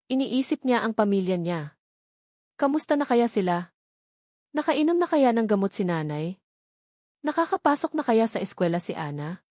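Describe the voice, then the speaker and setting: A woman's voice: medium pitch, a little mellow, dry recording, studio quality. A woman reading scripted, dramatic narration as the storyteller of a romance audio story.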